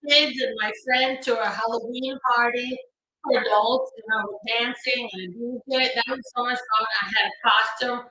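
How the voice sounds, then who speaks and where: Woman, forties, chatty livestream host, bright tone, slightly high voice. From Ukraine. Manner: chatty and upbeat, trailing fillers. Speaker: immigration lawyer hosting a live stream. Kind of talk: casual live-stream chat, greeting viewers by name. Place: home or office room in New York. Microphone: phone. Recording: echoey room on a phone.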